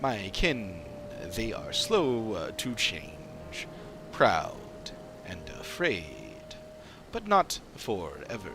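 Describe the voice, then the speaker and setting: A man voicing a proud, solemn creature from a fantasy game, speaking in halting, simple phrases. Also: lowish voice, huge pitch swings, over music, full character voice. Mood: grave, thoughtful. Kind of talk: game dialogue voiced by a streamer.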